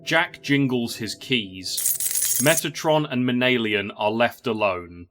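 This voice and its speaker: A man's voice: medium pitch, slow, a bit bright, soft background music. A man reading scripted narration for an audio drama.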